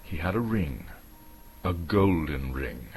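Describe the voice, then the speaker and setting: A man's voice: very deep voice, very animated, slow pace, a little mellow, slight background noise. A man from Canada gives a dramatic narration of a storybook, theatrical and suspenseful, lingering on key words. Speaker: actor reading as narrator.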